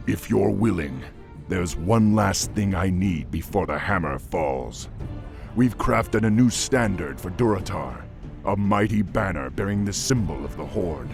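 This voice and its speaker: An actor playing a noble, grave orc war chief in a video game, formal and measured in speech. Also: male voice, sits low, vocal fry, a little mellow, exaggerated voice. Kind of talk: video game cutscene acting.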